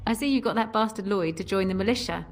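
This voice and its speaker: A woman's voice: mid-range voice, quick pace, natural voice. An actress as a down-to-earth barmaid in a fantasy game. She is wry and plain-spoken, in a mid-range voice with some music behind her. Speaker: game character, tavern worker. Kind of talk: scripted video game dialogue.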